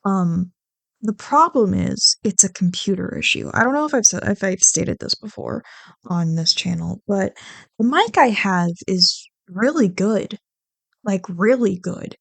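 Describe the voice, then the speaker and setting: Female creator, off-the-cuff and rambling, slightly gravelly with fry, unhurried, self-mocking, casual swearing, close dry mic. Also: medium pitch, animated, no echo. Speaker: ASMR roleplay audio creator. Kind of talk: casual rambling chat to listeners.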